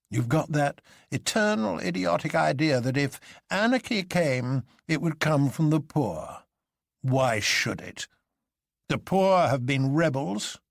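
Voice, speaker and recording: A man's voice: a little high, creaky, dry recording, clean studio sound, pitched above the performer's own voice. A man voicing a scornful, worldly detective in an audiobook, lecturing in an emphatic, opinionated way.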